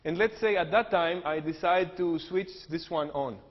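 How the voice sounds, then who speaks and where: Greek guy teaching engineering, a bit high voice, lots of uh's, dull echoey hall sound. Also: moderate pace. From Greece. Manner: joking, explanatory, frequent uh fillers. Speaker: engineering professor. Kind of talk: technical lecture with jokes. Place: lecture hall. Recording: dull lo-fi hall recording with long reverb.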